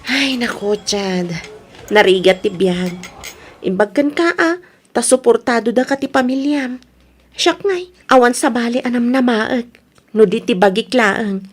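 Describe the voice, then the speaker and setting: A woman plays a young Filipina working student in a radio drama, with a high voice and a breathy, husky edge. She is expressive and girlish, hopeful even when worn down, and speaks with a Filipino accent. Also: unhurried. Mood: weary, earnest. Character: cheerful, hardworking young female working student, weary but hopeful. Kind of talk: Ilocano radio drama acting.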